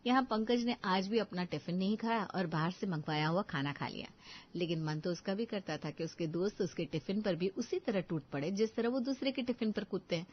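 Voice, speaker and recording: A woman narrating a scripted moral story for an animated video. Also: medium pitch, fairly quick, muffled recording.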